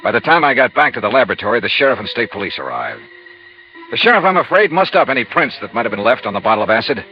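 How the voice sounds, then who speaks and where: A hard-boiled 1950s radio investigator, fast and clipped, with a lively swing in his pitch. He is dry in narration and insistent with questions, on a dull old recording. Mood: dry, intent. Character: brisk, hard-boiled male insurance investigator. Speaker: narrator and lead actor. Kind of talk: 1950s radio detective acting. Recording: dull vintage radio recording, music behind.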